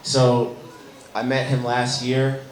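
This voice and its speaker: A young American man from Chicago with a slightly hoarse voice, earnestly telling his story at a press event, with frequent ums. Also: medium pitch, monotone, takes it slowly, reverberant, poor audio. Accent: Chicago American English. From Chicago, United States. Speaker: guest musician.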